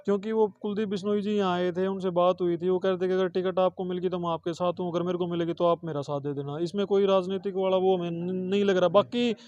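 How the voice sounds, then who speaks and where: A man's voice: high-pitched, level tone, fast talker, hardly any pauses, darkish tone, lo-fi sound. A man with a Haryanvi accent giving spontaneous answers as an interviewee. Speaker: interviewee, relative of the person discussed.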